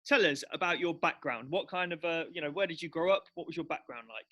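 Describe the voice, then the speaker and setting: A man's voice: a little high, quick pace, pushed and bright. A man hosting a school staff podcast, asking friendly, teasing questions. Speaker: podcast host interviewing staff. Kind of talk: casual interview hosting.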